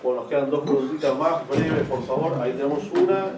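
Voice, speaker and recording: A man's voice: a little high, brisk, heavy background noise. A man informally moderating questions at a public forum. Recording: distant echoey room, loud crowd murmur.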